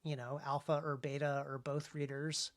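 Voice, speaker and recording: Halting man in casual podcast discussion. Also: fairly high pitch, little pitch movement. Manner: halting, repeats words as he thinks. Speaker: podcast host and author. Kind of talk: casual discussion of writing craft.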